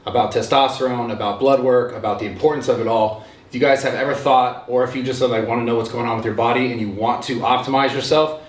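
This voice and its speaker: A man's voice: mid-range voice, steady tone, fast talker, hardly any pauses. Earnest man's ad read in a roomy space. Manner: earnest, urging listeners directly. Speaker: podcast host reading an ad. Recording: roomy sound, mic at a distance.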